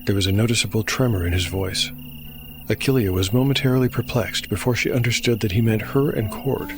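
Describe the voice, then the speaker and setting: A man with a fairly low voice serves as narrator and game master of a fantasy tale. His delivery is scripted storytelling, with music clearly heard underneath. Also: brisk. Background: music bed.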